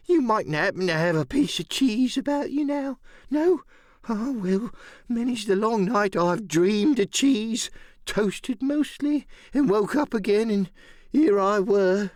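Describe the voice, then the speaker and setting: A man voicing a half-wild, childlike, sly old marooned sailor in an audiobook, with a hoarse, rusty voice and halting, rambling rustic speech. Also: sits high, no echo, studio quality, voice pushed up. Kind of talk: audiobook radio-play character acting.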